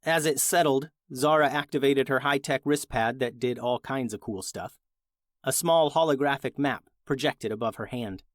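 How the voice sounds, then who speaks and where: A man hosts a story podcast for children and narrates from a script. His voice sits at a middle pitch. The sound is dry, with hardly any room in it. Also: normal speed, no echo.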